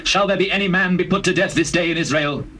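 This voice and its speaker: An actor playing a grave, commanding king in dramatized scripture, with deliberate declamatory speech. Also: high-pitched, fairly even intonation, bright, forceful tone. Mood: indignant. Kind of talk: dramatized scripture, classical stage acting. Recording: noisy old cassette tape.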